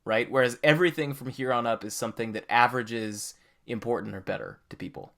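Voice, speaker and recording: A man's voice: medium pitch, quick pace, vocal fry, fairly bright tone. A man co-hosting a podcast, explaining things in a casual, conversational way. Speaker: podcast co-host.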